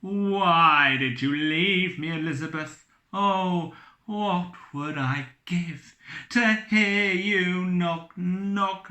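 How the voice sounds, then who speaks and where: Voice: mid-range voice, creaky, pushed and bright, reverberant, voice pushed up, exaggerated voice. A storyteller voicing a drunken, melodramatic old sea captain who wails and cries out. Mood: anguished. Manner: theatrical, wailing, anguished.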